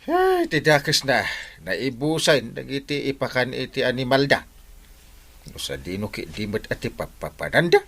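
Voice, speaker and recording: Excitable Ilocano village captain, swooping voice. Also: male voice, mid-range voice, moderate pace, vocal fry, full character voice. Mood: grumbling. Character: grumbling, excitable male village captain, comic. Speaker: actor in radio comedy drama. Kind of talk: Philippine radio comedy-drama acting.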